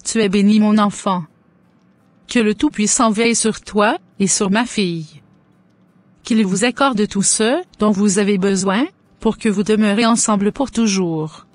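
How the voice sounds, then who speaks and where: A woman's voice: medium pitch, unhurried, no echo, lightly acted. Woman voicing a pious cartoon mother, middle-aged, solemn blessings, dry close sound. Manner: solemn, blessing-heavy, churchy phrasing.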